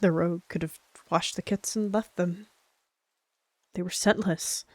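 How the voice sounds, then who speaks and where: A woman's voice: on the low side, fairly even intonation, a little slow, a little mellow, dry recording. Woman playing a guarded, earnest warrior cat. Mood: wary. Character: anxious, guarded female warrior cat with a secret. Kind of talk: text roleplay acted aloud.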